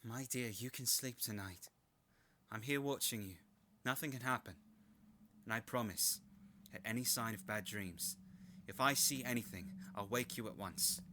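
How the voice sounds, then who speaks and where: A man's voice: medium pitch, moderate pace, slight background noise, lightly acted. An actor playing an earnest, troubled doctor who narrates from his journal in a radio horror drama. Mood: soothing. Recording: loud music behind the voice.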